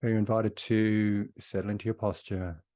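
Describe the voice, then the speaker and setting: A man leading a guided meditation in a soothing, unhurried way with long pauses between phrases. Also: lowish voice, little pitch movement, warm tone, dry recording.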